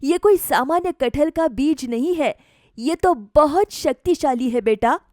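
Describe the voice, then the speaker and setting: A woman voicing a kind, practical village mother in an animated moral story. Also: sits high, unhurried, no echo, studio quality. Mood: relieved.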